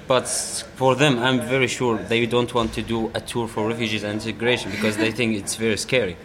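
A man's voice: fairly low pitch, flat delivery. Earnest young Iraqi-born man, crowd behind. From Iraq. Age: 20s. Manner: halting, searching for words, earnest. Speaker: refugee tour guide. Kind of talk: personal interview.

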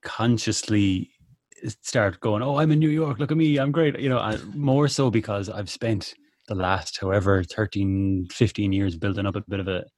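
A man's voice: on the low side, moderate pace, a little mellow, no echo, studio quality. A man from Ireland with an Irish accent, chatting casually as an interview guest in a jokey, self-deprecating way. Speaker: interview guest, music producer and mixing engineer.

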